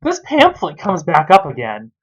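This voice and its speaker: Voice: medium pitch, huge pitch swings, moderate pace, breathy edge, highs cut off. A sarcastic, exasperated reviewer who swears freely while giving casual commentary on a story.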